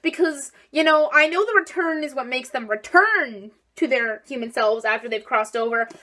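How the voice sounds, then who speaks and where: A teenage girl hosting a chatty book vlog, bubbly and fond of "like", her voice still a bit hoarse from recent strain. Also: high voice, moderate pace, bright, forceful tone. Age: teens. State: slightly hoarse, recovering voice. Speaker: book vlogger. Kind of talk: casual vlog list.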